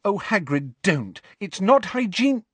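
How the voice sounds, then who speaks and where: A man's voice: medium pitch, very animated, normal speed, breathy edge, no echo, pitched above the performer's own voice. A man voicing a clever, anxious teenage girl who asks careful, concerned questions in a storybook reading.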